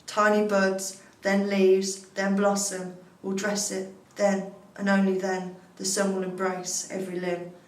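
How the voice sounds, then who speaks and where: A woman reciting poetry in a measured, scripted reading style. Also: medium pitch, monotone. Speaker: poetry reader. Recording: echoey, distant mic, faint noise.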